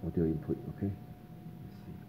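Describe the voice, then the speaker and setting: A man giving a casual, halting product demonstration indoors, repeating himself as he explains. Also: dull audio. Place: indoors at a desk with electronics. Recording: clear background room noise.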